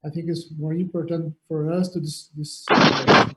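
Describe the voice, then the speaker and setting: A man from Brazil with a Brazilian Portuguese accent, giving an online surgical anatomy lecture over a video call in halting English, often repeating words. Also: fairly high pitch, flat delivery, noisy background. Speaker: surgeon lecturer.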